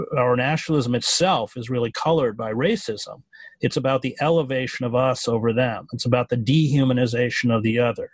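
A man speaking as a podcast guest, measured and earnest, with many fillers and restarts. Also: mid-range voice, dry recording. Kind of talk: thoughtful political interview.

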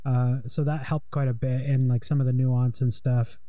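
A young man with a warm, mellow voice talks loosely and hesitantly, piling up fillers as he interviews a guest. The sound is dry and close, with no room around it. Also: mid-range voice, level tone, normal speed, no echo. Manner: rambling, hesitant, lots of fillers. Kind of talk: casual conversation.

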